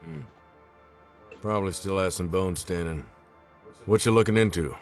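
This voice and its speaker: A man playing a folksy, gruff police chief with a prankish streak at a film script table read. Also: deep voice, moderate pace, soft background music. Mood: folksy, easygoing.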